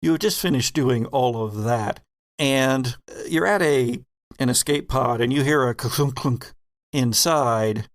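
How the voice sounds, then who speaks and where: A man runs a tabletop horror game, narrating at a slow pace with deliberate, suspenseful pauses. His voice sits at a middle pitch and is recorded dry and close. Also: no room sound. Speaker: game master.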